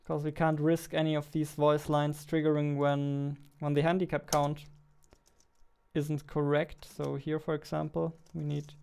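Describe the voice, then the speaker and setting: A man's voice: fairly high pitch, vocal fry, a little mellow, clear, resonant tone. A man in his twenties chatting casually on a livestream as he works, muttering to himself and repeating phrases. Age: 20s. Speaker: game developer livestreamer. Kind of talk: casual livestream chat while working. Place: indoor room at a computer desk. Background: keyboard typing and mouse clicks.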